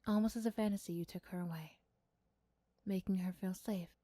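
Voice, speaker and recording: A woman narrates a scripted ASMR roleplay in a soft-spoken way. Her voice is mid-pitched and mellow, and the recording is dry. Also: fairly even intonation, brisk, no echo. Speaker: storyteller and voice performer.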